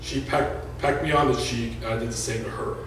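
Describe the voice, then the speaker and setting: A man's voice: fairly even intonation, distant mic, background noise. A man reading aloud in an echoey room. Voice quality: deep voice. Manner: plain unhurried reading with joking asides to the audience. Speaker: author reading his own book. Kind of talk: live reading of prose fiction. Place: indoor live reading event.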